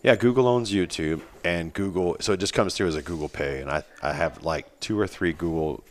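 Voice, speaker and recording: A man's voice: sits low, fairly quick. A man in his forties, a podcast guest, chatting casually in a rambling, teasing, self-mocking way. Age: 40s. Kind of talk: casual chat.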